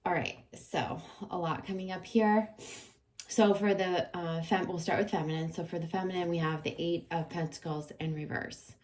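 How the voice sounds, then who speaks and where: A woman with a slightly low voice gives a dreamy, meandering tarot reading and talks straight to her viewers. She fills her sentences with "like" and "um" and starts some of them over. A small tickle in her throat brings on the odd cough. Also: fairly even intonation, normal speed, a little mellow. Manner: dreamy, meandering, many fillers and restarts. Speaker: tarot reader. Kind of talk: casual spiritual tarot reading to camera.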